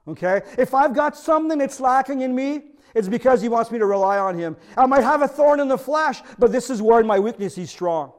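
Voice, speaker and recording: A middle-aged man with a French accent, his native tongue being French, delivers a sermon in a notably high voice. He preaches earnestly as pastor and keeps checking in with his listeners through rhetorical questions. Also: expressive, fairly quick, few pauses.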